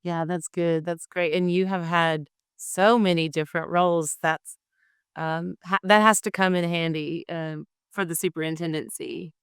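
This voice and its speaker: A woman's voice: fairly low pitch, fairly even intonation, moderate pace, smooth, dry recording, clean studio sound. A woman hosting an interview, giving encouraging replies and asking questions with some fillers. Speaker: podcast host. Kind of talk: interview host.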